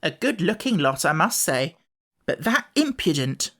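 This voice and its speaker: A woman voicing an indignant, scolding housekeeper in a children's storybook, sharp and cross in manner. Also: on the low side, sing-song, measured pace, full character voice.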